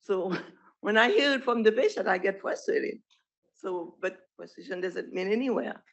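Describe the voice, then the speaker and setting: A middle-aged physician from Haiti with a Haitian accent, giving an informal, halting presentation full of restarts. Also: medium pitch, lively intonation, gravelly, highs cut off. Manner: halting, with frequent restarts and self-corrections. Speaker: physician presenting a residency program. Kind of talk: informal presentation at an online showcase.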